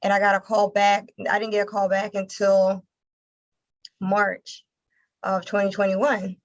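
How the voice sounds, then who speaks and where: An American woman from Philadelphia answering interview questions conversationally, haltingly repeating words as she thinks. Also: medium pitch, monotone, lo-fi sound. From Philadelphia, United States. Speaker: interview guest, nonprofit communications director.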